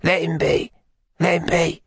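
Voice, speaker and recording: A man voicing an urgent, higher-pitched young gang woman. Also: little pitch movement, measured pace, vocal fry, fairly bright tone, breathy edge, dry recording, studio quality, heavily acted. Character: earnest young woman of a London gang, peacemaking. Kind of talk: audiobook character acting.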